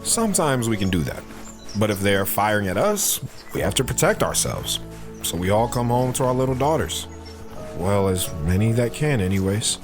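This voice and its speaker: A man's voice: on the low side, very animated, normal speed. An actor playing a protective soldier father who talks patiently and earnestly to his daughter in an audio drama. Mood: patient, serious. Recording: music behind the voice.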